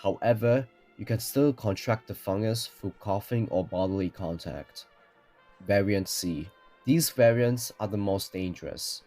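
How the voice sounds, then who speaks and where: Low-voiced man narrating over music. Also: unhurried, mellow. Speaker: narrator of a ranking video. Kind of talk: scripted explainer narration. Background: background music.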